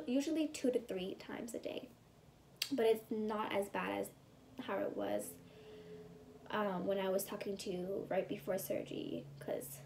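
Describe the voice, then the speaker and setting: A woman's voice: mid-range voice, gravelly, dark tone, slight background noise, rough recording. A young woman chatting casually through a personal vlog update on her recovery, rambling with plenty of fillers and sometimes sounding congested. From California. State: congested and recovering after surgery, sometimes whispering. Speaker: vlogger.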